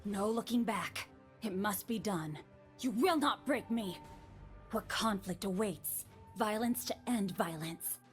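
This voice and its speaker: A woman's voice: medium pitch, slow pace, soft background music, close to an everyday voice. A woman playing a battle-worn warrior in a video game, broken and remorseful but resolute, speaking in solemn, terse lines. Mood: determined. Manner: solemn, terse, dramatic delivery.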